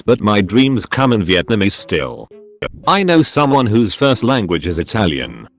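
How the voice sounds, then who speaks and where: Low-voiced man reading forum posts. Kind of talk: reading online posts aloud.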